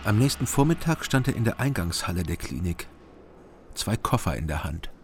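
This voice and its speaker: Low-voiced crime drama narrator. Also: male voice, big pitch swings, normal speed, noisy background. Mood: sober. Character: watchful male narrator of a crime story. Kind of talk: scripted first-person narration.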